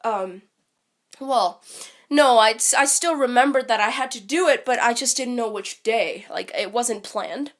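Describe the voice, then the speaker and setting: A woman's voice: fairly high pitch, unhurried. Congested woman rambling on a stream. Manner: rambling with many ums and restarts. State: congested from a cold. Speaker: live streamer. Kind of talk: casual live stream talk.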